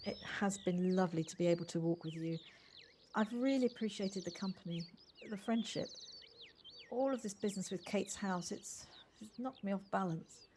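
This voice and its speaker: A woman's voice: medium pitch, normal speed, darkish tone, close to an everyday voice. A woman acting an earnest, caring professional in an amateur audio drama. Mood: friendly, reflective. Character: earnest married London lawyer, caring and insistent. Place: at home, recorded remotely. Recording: faint noise, home personal-device recording.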